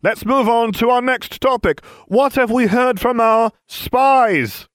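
A man's voice: extremely high pitch, takes it slowly, dry recording, clean studio sound, voice pushed up. A man doing a pompous, theatrical young wizard. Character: young male wizard leader, theatrical, scheming.

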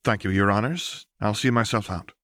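A man's voice: fairly low pitch, fairly bright tone, dry recording, studio quality, natural voice. Man voicing a poised, formal female operative. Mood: crisp, respectful. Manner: polite, formal, measured diction.

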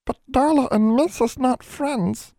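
A man's voice: very high-pitched, measured pace, warm tone, no room sound, studio quality, pitched above the performer's own voice. A man voicing a small, timid, devoted male elf in an audiobook, with a tiny voice and broken servant-like speech. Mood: timid, pleading. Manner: speaks of self in third person, broken grammar. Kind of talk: audiobook character voice.

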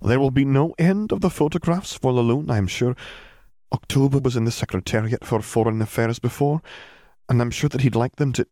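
Storybook role: strict older witch professor, played by a man, formal and measured, raised pitch, close dry recording. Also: warm tone, no echo, lightly acted. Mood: wry, dry. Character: stern older female magic school teacher.

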